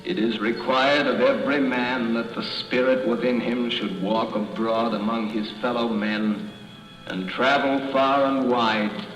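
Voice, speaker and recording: A man's voice: medium pitch, a bit bright, close to an everyday voice. An actor playing the mournful, reproachful ghost of a dead businessman, solemn and declamatory, in a radio drama. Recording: echoey reverberant hall effect, faint music.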